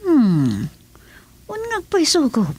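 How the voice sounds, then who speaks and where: An obedient aide in a radio drama, high-pitched and lively in intonation. She speaks with a subordinate's deference. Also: slow pace, slightly rough, heavily acted. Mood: deferential.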